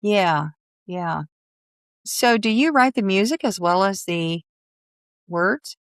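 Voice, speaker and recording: A woman's voice: medium pitch, expressive, takes it slowly, dry recording, clean studio sound. A mature woman hosting a conversational interview, reacting with open interest and encouragement. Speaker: interview host.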